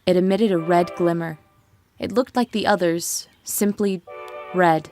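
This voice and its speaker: Low-voiced woman narrating a dramatic story. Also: steady tone, unhurried, darkish tone, faint music behind.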